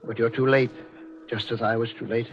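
A man acts out a resigned, solemn doctor from a vintage radio mystery. He speaks deliberately at a middling pitch. The sound is dull and old. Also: flat delivery, lightly acted. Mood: grave, resigned. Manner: somber, deliberate. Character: grave, resigned male doctor and guardian. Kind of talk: 1940s radio mystery acting. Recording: muffled, noisy old radio recording.

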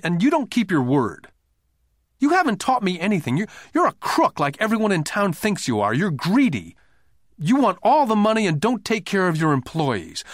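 An aggrieved little boy, acted out by a man in an audiobook. The voice is pushed high and swings widely as he pleads and accuses in a tearful, defiant way. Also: dry recording, studio quality, exaggerated voice. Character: indignant nine-year-old boy, tearful, defiant, aggrieved.

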